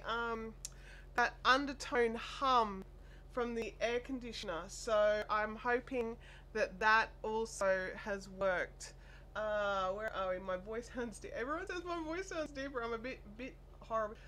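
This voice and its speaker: An Australian woman hosting a casual craft live stream, chatty and apologetic, her voice made deeper and nasal by a chest cold and sore throat. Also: a bit bright. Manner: chatty, apologetic, reads viewer comments aloud. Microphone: desk microphone. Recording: faint air conditioner hum.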